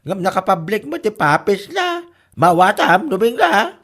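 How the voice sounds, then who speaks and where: A woman's voice: mid-range voice, very animated, unhurried. A woman with an Ilocano Filipino accent playing an exasperated, confrontational villager in a radio comedy drama.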